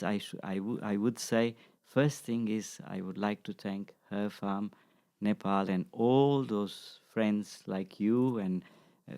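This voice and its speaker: A man in his sixties answering interview questions in a measured, reflective way, as a practitioner and teacher. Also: fairly low pitch, a little mellow. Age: 60s. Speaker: guest, acupuncture practitioner and teacher.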